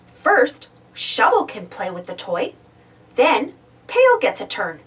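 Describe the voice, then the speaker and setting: A woman plays a cheerful young preschool host from a storybook, talking straight to small children in a sing-song way. The voice is high and swoops widely, with a slow, careful pace. It sounds a little away from the microphone. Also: wildly swooping pitch, a bit bright, slightly rough, distant mic, exaggerated voice. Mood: curious, encouraging. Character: Joe, cheerful young man hosting a preschool show. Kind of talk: children's storybook read-aloud.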